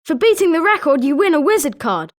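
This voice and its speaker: An eager British schoolboy wizard voiced by a woman for a video game, pitched high. The delivery is chirpy and emphatic, swinging widely in melody, with a friendly competitive edge. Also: sing-song, a little fast. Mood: congratulatory. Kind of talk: scripted video game character lines.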